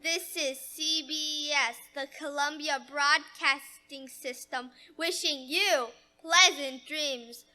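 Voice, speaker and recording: A woman playing a bright vintage radio announcer. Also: fairly even intonation, slow, few pauses, heavily acted. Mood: cheery. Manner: bright, sweet and sales-pitched. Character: cheery female radio sponsor announcer.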